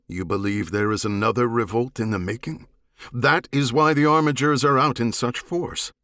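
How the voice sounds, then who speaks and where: A man's voice: mid-range voice, steady tone, normal speed, a bit bright, dry recording, natural voice. A man voicing a loyal, battle-hardened alien warrior escort, stern and formal, in an audiobook. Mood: questioning, wary. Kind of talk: audiobook character dialogue.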